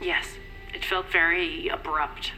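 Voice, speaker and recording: Worried widowed mom, middle-aged, bright lowish voice, careful and halting, audio drama. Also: female voice, flat delivery, soft background music, exaggerated voice, poor audio. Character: anxious, grieving middle-aged mother, polite and hesitant. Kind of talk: naturalistic audio drama acting.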